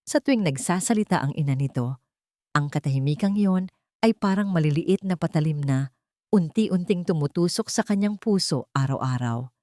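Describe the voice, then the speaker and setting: Female story narrator, low pitch, scripted dramatic narration, dry recording. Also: animated, moderate pace, mellow, no echo.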